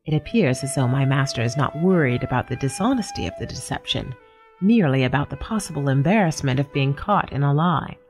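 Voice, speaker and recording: A woman playing a nameless, observant house cat, narrating a literary radio story in a measured, formal, deadpan way. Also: a bass voice. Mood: wry, reflective. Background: music.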